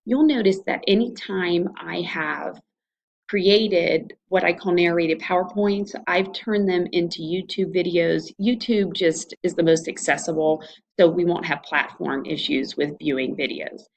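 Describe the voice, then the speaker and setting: A woman's voice: fairly low pitch, measured pace, gravelly, lo-fi sound. A woman with an Ohio Valley American accent, an instructor talking students through an online course in an informal, conversational, explanatory way. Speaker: college instructor. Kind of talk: informal screencast course walkthrough.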